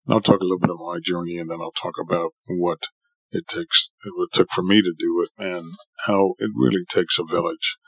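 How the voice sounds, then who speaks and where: Deep, raspy middle-aged New man. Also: fairly even intonation, fairly quick, no room sound, poor audio. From New York City, United States. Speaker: podcast guest, surgeon and professor. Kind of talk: autobiographical monologue for a podcast.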